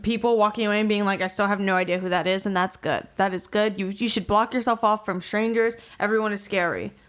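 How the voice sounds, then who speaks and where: A woman's voice: medium pitch, steady tone, quick pace. A woman co-hosting a podcast in casual banter, blunt, sweary and self-deprecating. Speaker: podcast co-host.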